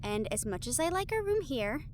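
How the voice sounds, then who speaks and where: A woman's voice: high-pitched, big pitch swings, moderate pace, darkish tone, a little hiss or hum. A woman playing an earnest, studious, slightly bossy young woman in a scripted audio roleplay, speaking in an organized, teasing, formal way. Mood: friendly.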